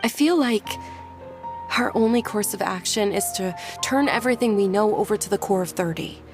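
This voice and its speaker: A woman voicing a dazed young adult daughter in a video game, speaking softly and hesitantly. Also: medium pitch, normal speed, over music, close to an everyday voice. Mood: reflective. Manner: soft, hesitant, reflective.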